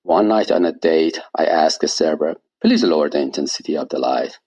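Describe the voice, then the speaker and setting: A man, reading his own personal essay aloud in a measured, wry way. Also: extremely low pitch, expressive, darkish tone, breathy edge. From Iran. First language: Persian. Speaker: guest storyteller.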